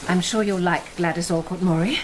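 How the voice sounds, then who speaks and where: A woman's voice: on the low side, steady tone, a little fast, close to an everyday voice. An actress with an English accent playing a genteel, affectionate middle-aged Edwardian mother in a radio drama, over clinking dishes. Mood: fussy, affectionate. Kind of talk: period radio drama acting. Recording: clinking dishes, clear background noise.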